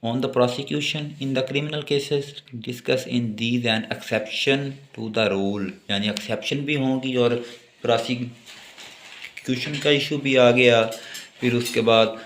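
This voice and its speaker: Slow-spoken Pakistani man lecturing, echoey room. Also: mid-range voice, fairly even intonation, a bit bright, a little hiss or hum. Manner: reads English passages slowly and deliberately, then explains informally with repetition. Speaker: law lecturer. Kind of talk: exam-focused lecture, reading English notes and explaining them.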